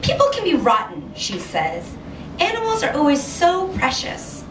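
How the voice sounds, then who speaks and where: Female author at a live literary reading, slightly high voice, measured expressive delivery; echoey, picked up from afar. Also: far from the mic. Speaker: author reading own short story. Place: indoor venue at a reading series. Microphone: recorded from a distance in the room. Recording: roomy, noisy live recording from afar.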